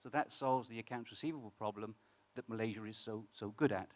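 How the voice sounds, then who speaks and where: A man's voice: on the low side, quick pace, a little mellow. A British man, a fintech founder, speaking in a conversational way at a conference and asking the audience questions. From United Kingdom. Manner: conversational, many restarts, asks the audience questions. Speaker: fintech founder presenting on stage. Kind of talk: conference talk to a live audience.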